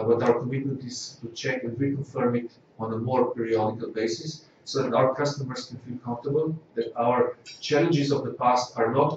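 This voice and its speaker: A man from Slovenia giving a corporate results presentation, measured and full of filler "uh"s. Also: mid-range voice. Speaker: company executive presenting results. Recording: echoey hall, distant dull mic, faint noise.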